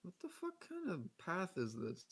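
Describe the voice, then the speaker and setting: Gravelly-voiced man playing a tabletop game. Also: sits high, huge pitch swings, normal speed. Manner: casual, blunt, swearing. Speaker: player.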